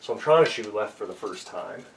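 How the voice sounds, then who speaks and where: A man's voice: lowish voice, animated, fairly quick, creaky. A young man musing casually to camera, rambling with lots of fillers and self-deprecating asides. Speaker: archery vlogger. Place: small basement archery range, indoors. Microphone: camera mic at a few meters while he moves and shoots. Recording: distant camera mic with faint noise.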